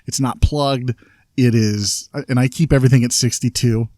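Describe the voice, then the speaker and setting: A man's voice: mid-range voice, fairly even intonation, moderate pace, darkish tone, dry recording. Opinionated man talking cigars outdoors. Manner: opinionated, complaining, enthusiastic aficionado. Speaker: podcast co-host. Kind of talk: casual chat. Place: outdoors, light breeze.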